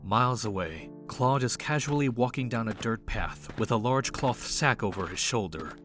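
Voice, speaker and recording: A man's voice: mid-range voice, animated, moderate pace. A man reading scripted story narration for an audio drama, with music playing underneath.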